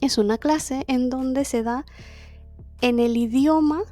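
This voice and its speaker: A woman's voice: sits high, slow, dark tone, faint music behind. A woman teaching a language class, explaining methods in a conversational way and often asking herself rhetorical questions. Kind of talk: explaining a lesson to camera.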